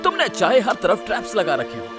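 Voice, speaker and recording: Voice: mid-range voice, fairly quick, music in the background. An actor voicing a plucky, talkative young boy hero in a cartoon dub, cheeky and defiant. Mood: bold. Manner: animated, chatty, defiant.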